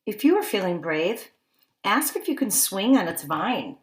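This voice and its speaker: A woman's voice: mid-range voice, sing-song, unhurried. A woman reading a picture book aloud to young children as a teacher, stopping to ask simple questions and make exclaiming asides.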